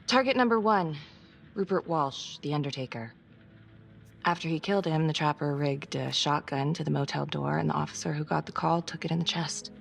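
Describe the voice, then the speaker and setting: Tough, tired female detective for a crime game; deep, fry-edged delivery over music. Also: brisk. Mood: grim, matter-of-fact. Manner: blunt, swearing, world-weary. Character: weary, guarded, tough female homicide detective. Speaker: video game actor. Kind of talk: naturalistic crime drama acting. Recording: music under the dialogue. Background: background music.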